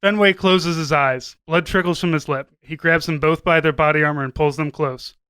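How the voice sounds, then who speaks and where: Bright, high-pitched male narrator. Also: fairly even intonation, normal speed, smooth, dry recording, studio quality. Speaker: podcast host and narrator. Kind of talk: scripted comedic narration.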